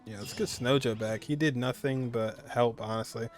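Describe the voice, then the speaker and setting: A man's voice: mid-range voice, fairly even intonation, normal speed. A young man giving informal let's play commentary, reacting aloud to the game with its music behind him. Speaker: gaming streamer.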